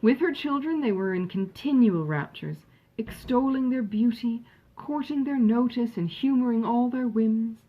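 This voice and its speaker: A woman reading a classic novel aloud as an audiobook narrator, in an expressive, measured storytelling manner. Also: on the high side, dull audio.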